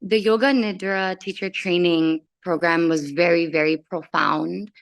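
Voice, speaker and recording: A woman from Indonesia speaking conversationally as a coach, giving a personal testimonial. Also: on the low side. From Jakarta, Indonesia. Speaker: transformational soul coach.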